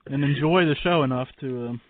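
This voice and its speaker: A man hosting a radio show in a casual, rambling, informal way with halting starts. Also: medium pitch, slight background noise. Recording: boxy phone-like line with room echo.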